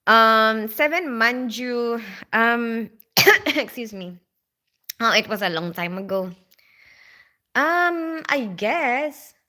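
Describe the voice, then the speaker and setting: A woman's voice: on the high side, lively intonation, drawn-out words, pauses often, sharp tone. A young woman from the Philippines with a Filipino accent, chatting casually on a livestream and reading out viewer comments, full of "like" and "you know". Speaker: livestream host.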